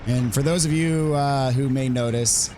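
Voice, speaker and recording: A man of ordinary pitch talks through a game walkthrough in a relaxed, explanatory way. His voice is hoarse and not yet fully recovered, and he stumbles into ums and restarts now and then. A faint hiss sits under the recording. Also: normal speed. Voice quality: recovering from voice loss. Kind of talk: casual gameplay commentary.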